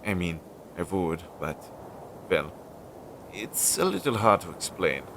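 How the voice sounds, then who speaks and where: A man playing an anxious, devoted crow familiar in an intimate audio roleplay, speaking softly and hesitantly, with pauses. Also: big pitch swings, full character voice. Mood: hesitant, gentle. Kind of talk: intimate second-person audio roleplay acting. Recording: low-quality recording with clear noise.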